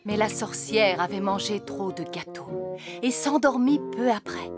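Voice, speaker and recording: A woman's voice: mid-range voice, expressive, measured pace, music in the background, natural voice. An actress voicing a fairy queen and mother who reads a storybook aloud expressively, in a French cartoon dub. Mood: storytelling.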